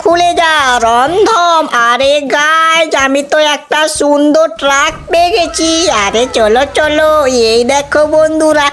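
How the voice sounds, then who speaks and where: A woman's voice: sits high, measured pace, hardly any pauses, a bit bright, a little hiss or hum. A woman voicing a boastful meat-eating monster in a cartoon game skit, exclaiming to viewers. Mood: boastful, excited. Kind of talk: scripted cartoon gameplay skit.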